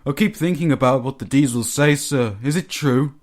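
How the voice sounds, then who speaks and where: A man's voice: mid-range voice, normal speed, lightly acted. A man voicing a big, proud, self-important male steam engine in a storybook, with a pompous, dismissive manner. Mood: gloomy, worried.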